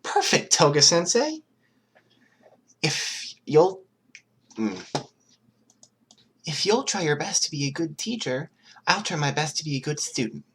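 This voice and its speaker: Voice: medium pitch, very animated, measured pace, pauses often, a bit bright, a little hiss or hum, voice pushed up. A performer voicing an anxious, polite teenage boy, hesitant and earnest, in an amateur audiobook. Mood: eager.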